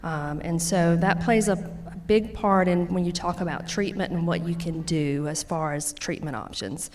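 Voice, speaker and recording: Lower-voiced woman with a Louisiana accent. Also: moderate pace, a little mellow. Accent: Louisiana Southern. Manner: folksy, explains with everyday examples. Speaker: nurse practitioner. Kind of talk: conference presentation. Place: conference hall.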